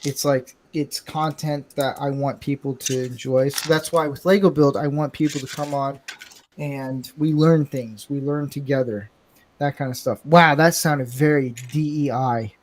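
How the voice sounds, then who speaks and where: A man's voice: mid-range voice, steady tone, a little slow, slight background noise. A man hosting a casual live podcast, talking bluntly and rambling with self-mocking humor. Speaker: podcast host. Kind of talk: casual live-stream chat.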